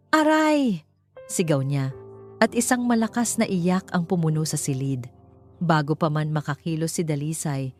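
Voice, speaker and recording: Lowish-voiced woman narrating dramatically. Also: darkish tone. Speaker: story narrator. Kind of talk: dramatic scripted narration of a romance story.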